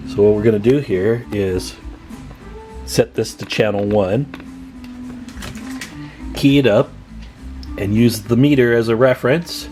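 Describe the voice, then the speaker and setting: A man's voice: on the low side, fairly even intonation, unhurried, long pauses, dark tone, faint music behind. A man presenting a hands-on repair tutorial in a casual, plain-spoken, advising way.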